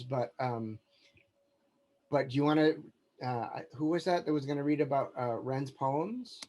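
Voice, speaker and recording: A man's voice: fairly high pitch, darkish tone. A man hosting an online event on a video call, hesitant and restarting often. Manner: hesitant, restarting, fumbling with controls. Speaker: event host.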